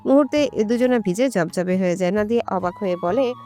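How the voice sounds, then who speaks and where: A woman's voice: medium pitch, brisk, warm tone, soft background music. A woman storyteller reading a romantic story as scripted, dramatic narration.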